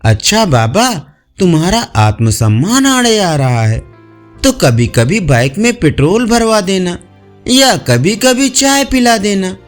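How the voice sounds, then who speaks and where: A man's voice: sits high, very animated, slow pace, soft background music, full character voice. A man voicing a friendly, helpful young male office colleague in an audio story, casual and persuasive. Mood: friendly persuasion.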